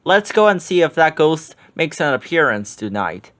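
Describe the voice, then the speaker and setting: A man's voice: on the high side, sing-song, moderate pace, fairly bright tone. A man voicing a confident young man leading a group, upbeat and take-charge. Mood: eager.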